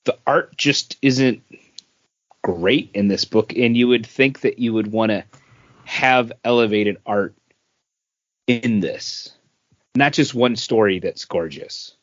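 A man co-hosts a casual review podcast, talking at an unhurried, slow pace. His mid-pitched voice sits in a dry space. The recording is a bit dull and lo-fi. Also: fairly bright tone, no room sound. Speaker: podcast co-host reviewing comics. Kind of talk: casual chat.

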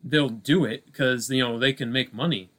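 Man in halting, casual podcast conversation. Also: mid-range voice, fairly bright tone. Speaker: podcast co-host. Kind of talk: casual film discussion.

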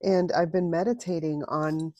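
A woman hosts a podcast interview; Spanish was her first language. She asks questions and responds warmly, with a lowish voice. The sound is dry and close. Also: steady tone, normal speed, no room sound, lo-fi sound. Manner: warm, affirming, brief responses. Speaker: podcast host. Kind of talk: interview hosting.

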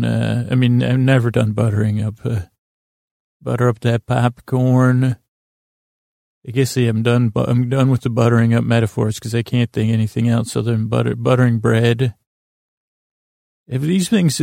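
Male bedtime podcast host, strong vocal fry, dry close recording; halting, wandering delivery full of self-interruptions and repeated words. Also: mid-range voice, warm tone, no echo. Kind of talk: rambling bedtime monologue to lull listeners to sleep.

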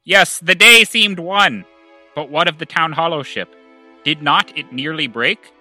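A man's voice: fairly high pitch, unhurried, sharp tone, faint music behind. A man playing an earnest, grandiose small-town figure with mock-epic declamation in a comedy audio drama. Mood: grand, solemn. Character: earnest, grandiose man narrating an amateur stage play.

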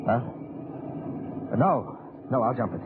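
An actor playing a terse American army captain telling of a wartime mission in an old radio drama, American accent. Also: male voice, medium pitch, very animated, unhurried. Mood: shaken. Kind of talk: 1950s radio drama first-person narration. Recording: very noisy tinny old radio recording.

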